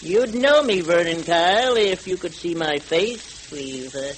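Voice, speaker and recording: High-pitched, theatrical masked avenger. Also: male voice. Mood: vengeful, threatening. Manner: theatrical, deliberate, threatening declamation. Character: menacing hooded masked avenger, grimly righteous male vigilante. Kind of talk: old-time radio adventure serial acting. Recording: crackly old radio recording, steady hiss.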